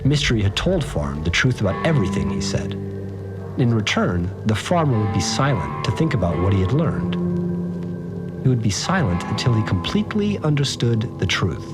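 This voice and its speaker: Slangy dude in an audio drama, kinda rattled, chatty, lively up and down, music under him. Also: male voice, lowish voice, moderate pace. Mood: agitated, baffled. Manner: slangy, conversational, swearing. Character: rattled, slangy laid-back guy recounting strange events. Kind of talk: informal first-person storytelling in audio drama.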